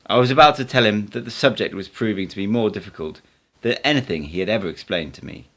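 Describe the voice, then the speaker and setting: A man, an amateur reader, narrating an audiobook in an expressive storytelling style. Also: on the low side, fast.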